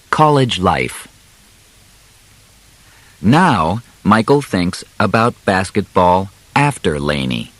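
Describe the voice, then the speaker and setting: A man narrating an audiobook, reading a simple scripted story in deliberate, clearly separated short phrases. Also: lowish voice, no room sound.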